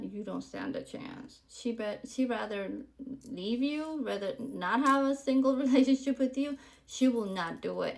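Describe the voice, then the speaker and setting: A woman's voice: medium pitch, gravelly, low-quality recording. A woman in her forties from Puerto Rico, hosting her podcast in a candid, chatty monologue full of ums and likes. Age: 40s. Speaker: podcast host. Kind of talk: casual monologue to camera.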